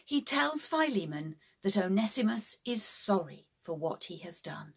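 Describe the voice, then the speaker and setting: Unhurried, husky woman reading bedtime stories. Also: mid-range voice, big pitch swings. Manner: simple, deliberate phrasing for young children. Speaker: storybook narrator. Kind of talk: scripted children's bedtime story narration.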